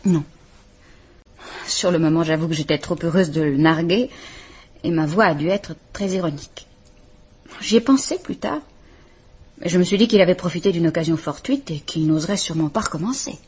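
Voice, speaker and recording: An actress playing a frightened but stubborn wife in a French radio drama. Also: female voice, on the low side, normal speed, pauses often, a little mellow, breathy edge. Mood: defiant.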